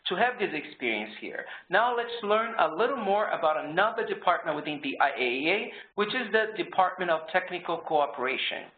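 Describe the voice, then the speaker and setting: A man with a somewhat high voice moderates and hands over to each speaker in a smooth, formal way. The sound is tinny like a phone line and echoes in a hard room, with a faint hiss. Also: sing-song, fairly quick, hardly any pauses. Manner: smooth, formal hand-overs. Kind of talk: presenting speakers.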